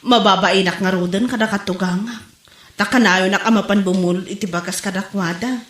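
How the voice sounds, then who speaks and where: A woman's voice: mid-range voice, steady tone, brisk, a bit bright, lightly acted. A woman acting a long-suffering, pleading wife and mother in a comedy drama, recorded in an echoey room. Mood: subdued, pleading.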